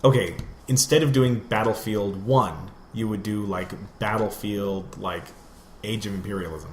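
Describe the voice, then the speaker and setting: Male podcast co-host, deep-ish voice, loose rambling gamer chat, roomy sound with background noise. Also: a little slow. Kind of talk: casual gaming chat.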